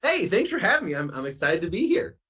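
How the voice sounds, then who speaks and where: A man's voice: high voice, huge pitch swings, fast talker, sharp tone, breathy edge. A man from the United States speaking as an interview guest in a casual, upbeat, chatty and informal way. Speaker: podcast guest, author.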